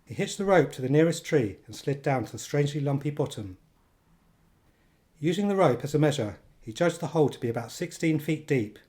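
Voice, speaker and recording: An actor narrating a comic thriller radio play as a measured, deadpan storyteller, precise and dryly wry. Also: male voice, on the high side, natural voice.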